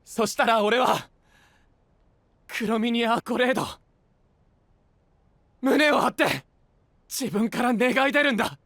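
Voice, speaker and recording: A man playing an earnest, self-reproaching young apprentice knight in an anime-style audio drama, with a breathy, husky voice and intense delivery. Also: very high voice, expressive, slow, long pauses, fairly bright tone, dry recording, heavily acted. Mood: resolute. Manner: intense, brooding, emotionally swinging delivery.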